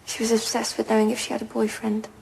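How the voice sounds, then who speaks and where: A woman playing a reluctant teenage schoolgirl witness in a courtroom drama, speaking haltingly. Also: a little high, monotone, creaky, a little mellow, in a large hall, slight background noise. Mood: uneasy. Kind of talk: naturalistic courtroom drama acting.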